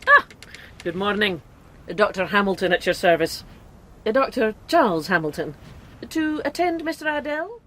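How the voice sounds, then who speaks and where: A woman's voice: medium pitch, sing-song, moderate pace, pushed and bright, slight background noise. A woman acting in a period radio drama as a pompous, boastful impostor who passes herself off as a male doctor. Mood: blustering, flustered.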